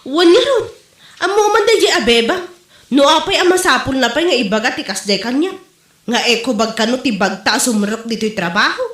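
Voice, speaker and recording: A woman plays a gossipy, indignant female co-worker in an Ilocano comedy drama. Her high voice swings up and down with exclamations, in an Ilocano Filipino accent, over a roomy recording. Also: expressive, fairly quick, a bit bright. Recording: roomy, echoey room sound.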